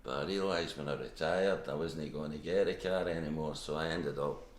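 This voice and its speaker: A man in his seventies with a Scottish accent, telling his story in a casual interview, halting with many restarts. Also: extremely low pitch. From Scotland. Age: 70s. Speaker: interview guest. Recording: echoey room with faint background hum.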